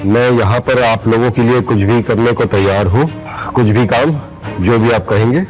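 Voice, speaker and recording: A man's voice: mid-range voice, normal speed, darkish tone, faint music behind, lightly acted, low-quality recording. An actor playing a humble, earnest man in a Hindi audio drama.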